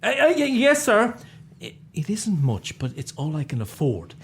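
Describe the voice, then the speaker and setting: A man's voice: huge pitch swings, normal speed, creaky, husky, heavily acted. An actor with an Irish accent playing a timid male clerk in a radio play, stammering nervously and deferring at every turn. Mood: anxious. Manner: stammering, nervous, jumps high in pitch.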